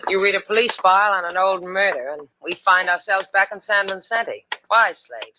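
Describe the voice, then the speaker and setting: Lady playing cool wry young adventuress, old radio drama, expressive delivery, crackly noisy recording. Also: medium pitch, normal speed, close to an everyday voice. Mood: needling, sardonic. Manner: dry, teasing, sardonic. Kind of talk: 1950s radio drama acting.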